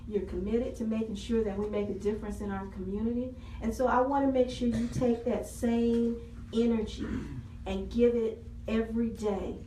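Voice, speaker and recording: Woman council member, slightly high voice, earnest public appeal, heard from across a reverberant meeting room. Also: mellow, a little hiss or hum. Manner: earnest, repeats key phrases for emphasis. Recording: echoey hall, voice from far off.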